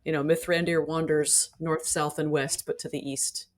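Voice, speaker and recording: A woman's voice: low voice, little pitch movement, normal speed. A woman speaking as a guest in a casual panel discussion, analytical and articulate like a scholar explaining her field. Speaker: podcast guest, literature scholar.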